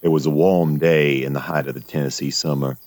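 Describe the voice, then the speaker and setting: A man narrating a scripted gothic horror story in a measured, literary and dramatic way. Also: extremely low pitch, fairly even intonation, a little mellow, no echo.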